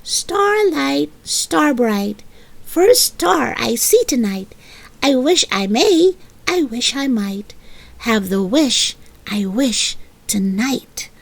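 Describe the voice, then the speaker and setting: An eager, bratty little girl acted by a woman from a children's storybook, high and very swoopy in pitch. She pleads and protests dramatically, leaning hard on her words with childish emphasis. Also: big pitch swings, slow pace, vocal fry, heavily acted. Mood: earnest wishing. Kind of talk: animated children's storybook reading.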